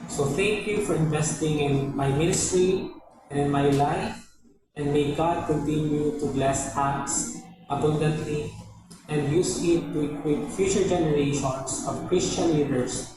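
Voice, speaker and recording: A man's voice: on the high side, steady tone, a little slow. A man delivering a formal, scripted message of gratitude as a graduate. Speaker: graduate giving a thanksgiving speech. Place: large indoor hall at a ceremony. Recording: very echoey, distant mic, music behind. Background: music.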